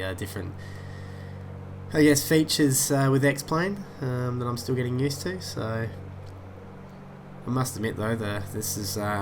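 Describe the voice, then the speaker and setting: A man's voice: fairly low pitch, noisy background. A man with a head cold that makes him sound nasal, casually commentating his flight simulator session and often losing his thread. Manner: rambling, many "uh" fillers, easily sidetracked. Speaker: flight sim YouTuber.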